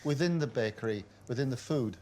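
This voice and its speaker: A man's voice: mid-range voice, lively intonation, a little fast. A man presenting a TV food show, narrating and chatting with bakers. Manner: warm, chatty, asking questions.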